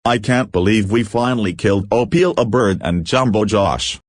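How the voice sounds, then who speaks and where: A man's voice: fairly low pitch, lively intonation, moderate pace, dry recording, clean studio sound. A man playing a helpful leader of a monster-fighting team, speaking short plain lines in an amateur game drama. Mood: resolute. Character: male leader of a creature-fighting group, helpful.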